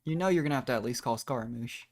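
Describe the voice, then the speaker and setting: A man's voice: medium pitch, rapid-fire. A man giving casual, informal live reactions as a game streamer, muttering along to the story. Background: game music.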